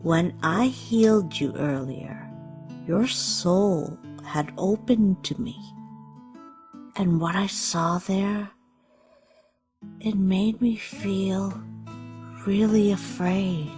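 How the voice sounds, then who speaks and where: A gentle motherly monster guardian from a comic dub, played by a woman. She speaks very slowly and earnestly, tender but resolute, with music running behind her. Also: mid-range voice. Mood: worried, tender. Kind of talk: dramatic comic dub acting. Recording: voice over background music.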